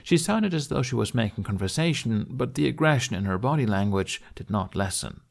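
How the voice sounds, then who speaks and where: A man's voice: mid-range voice, lively intonation, fairly quick, gravelly. A man reading scripted fantasy fiction as an audiobook narrator.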